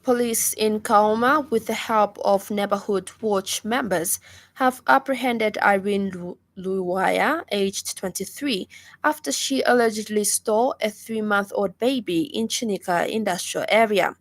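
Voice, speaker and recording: A woman with a Zambian accent reads a scripted radio news bulletin in a formal, measured manner. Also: medium pitch. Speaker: news reader.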